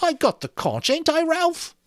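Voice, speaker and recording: A man acting out a high-pitched, whining schoolboy from an audiobook novel. He sounds wronged and anxious, nagging about rules in rough grammar, his voice swinging up and pressing loud with indignation. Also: huge pitch swings, unhurried, dry recording, studio quality, full character voice. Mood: hurt, pleading. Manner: indignant, hurt, reproachful, ungrammatical. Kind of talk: dramatic audiobook reading.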